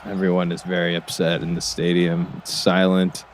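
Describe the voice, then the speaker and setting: A man's voice: sits low, completely flat delivery, normal speed, natural voice. A man playing an earnest, emotionally invested basketball color commentator in an audio drama, hesitant and easily wowed. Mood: let down. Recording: basketball game noise behind.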